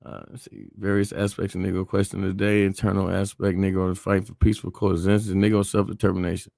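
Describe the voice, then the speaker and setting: A man in a casual discussion who gets animated and laughs between points, and also reads documents aloud. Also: low voice, fast talker, vocal fry, no echo, clean studio sound. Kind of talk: casual conversation and reading aloud.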